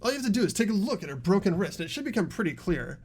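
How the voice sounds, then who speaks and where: A man's voice: sits high, very animated, rapid-fire, darkish tone, slightly rough, heavily acted. A man voicing an earnest teenage boy in a fan-dubbed mystery game, reasoning things out aloud. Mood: eager, explaining. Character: earnest teenage boy student working out a murder.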